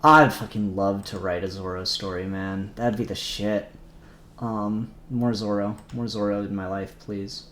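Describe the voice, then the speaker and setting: A man's voice: fairly low pitch, fairly even intonation, unhurried, a bit bright, slight background noise. A man co-hosting a casual livestream, muttering to himself and trailing off as he works. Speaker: livestream co-host. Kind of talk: casual livestream chat while working. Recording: echoey room.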